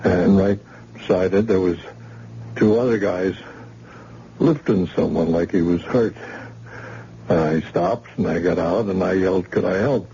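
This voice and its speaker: An actor playing a wounded man who saw a shooting, halting and hesitant, in an old radio drama. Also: low voice, warm tone, husky, exaggerated voice. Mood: shaken. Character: wounded witness man, shaken and scared. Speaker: shot crime witness in hospital. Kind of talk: vintage radio drama acting. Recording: dull lo-fi old radio recording with faint hiss.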